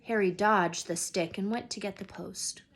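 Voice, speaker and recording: A woman's voice: medium pitch, moderate pace, husky. A woman reading a children's story aloud expressively, as a teacher would.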